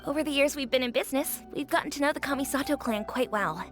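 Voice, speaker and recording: An actress playing a friendly, hospitable owner of a humble eatery in a video game, chatting with customers. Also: female voice, high-pitched, fast talker, music in the background, lightly acted. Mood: chatty.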